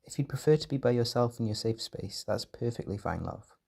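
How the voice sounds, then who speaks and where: A man performing a gentle, doting caretaker in an intimate comfort roleplay, speaking softly and reassuringly with tender pet names. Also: mid-range voice, lively intonation, brisk, vocal fry. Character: gentle, doting male caretaker soothing an overwhelmed partner.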